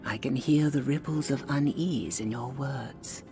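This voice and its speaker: A woman voicing a blind older songstress in a video game, who speaks softly, often in a hushed, intimate half-whisper, wise and gently teasing. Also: rumbling low, unhurried, over music. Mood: gentle. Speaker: game character.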